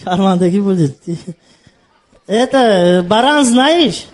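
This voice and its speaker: A man's voice: sits high, animated, slow. A man playing a cheerful, naive Tajik migrant man in Moscow on a comedy phone call, speaking broken Russian mixed with Tajik in a Tajik accent. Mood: bumbling.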